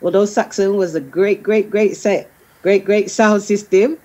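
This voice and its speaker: A woman's voice: mid-range voice, steady tone, a little slow, warm tone. A middle-aged woman talking casually as a guest artist on a panel.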